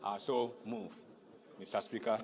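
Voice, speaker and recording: A man's voice: on the low side, steady tone, measured pace, darkish tone, soft background music. Nigerian man speaking in an echoey chamber. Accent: Nigerian Yoruba-region English. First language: Yoruba. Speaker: legislator. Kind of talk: parliamentary floor speech. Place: large indoor legislative chamber. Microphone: desk gooseneck microphone. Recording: echoey noisy chamber, long reverb.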